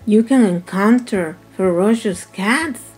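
Storybook mother bird, voiced by a woman; slow, instructive, protective and patient, faint music underneath. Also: mid-range voice, darkish tone, lightly acted. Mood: cautioning. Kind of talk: dramatized picture-book storytelling.

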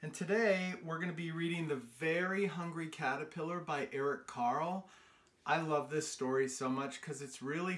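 A middle-aged American man hosting a children's storytime, reading a picture book aloud in an expressive, sing-song way with questions to his listeners. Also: fairly high pitch. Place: small room indoors on a couch.

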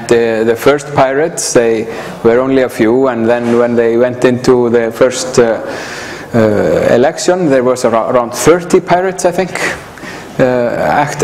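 A middle-aged man from Iceland with an Icelandic accent, giving an informal presentation in a hesitant, halting way full of pauses and filler sounds. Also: medium pitch, a little mellow. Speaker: conference speaker. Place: indoor hall, standing by a projector screen. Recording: echoey hall from afar, background hiss.

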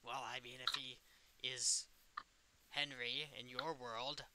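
A man's voice: mid-range voice, little pitch movement, slow, noisy background, close to an everyday voice. A man playing a smug, taunting evil puppet killer in an improvised game role-play, with a nonchalant manner.

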